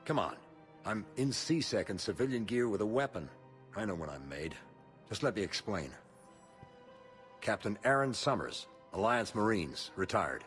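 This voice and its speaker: An actor playing a bitter, stubborn retired marine captain in a video game, with a terse, gruff delivery. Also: male voice, lowish voice, sing-song, normal speed, fairly bright tone, faint music behind. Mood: resigned. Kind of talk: video game cutscene dialogue.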